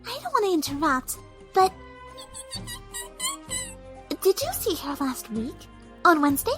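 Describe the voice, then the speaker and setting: A woman voicing a shy, gentle young cartoon pony, hesitant and soft-spoken. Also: high-pitched, sing-song, brisk, over music. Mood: timid, hesitant. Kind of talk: fan audio drama acting.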